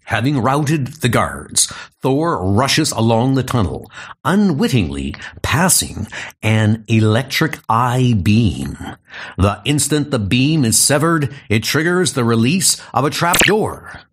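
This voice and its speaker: A man narrating a comic book audio drama in a dramatic, deliberate way. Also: mid-range voice, very animated, husky.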